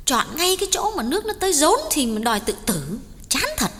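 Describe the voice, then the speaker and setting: A man voicing a gossipy village woman who mocks and nags in a high, lively voice. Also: fairly quick, in a large hall, full character voice. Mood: mocking. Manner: mocking, nagging.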